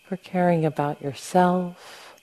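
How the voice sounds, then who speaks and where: A woman leads a guided meditation in a low, mellow voice. She speaks very slowly and gently, leaving long pauses between short phrases as she instructs. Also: steady tone, low-quality recording. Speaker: meditation teacher.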